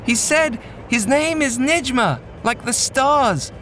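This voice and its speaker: A man voicing a frightened but determined young boy who talks in a high, urgent voice. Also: lively intonation, slow pace, a bit bright, soft background music, heavily acted.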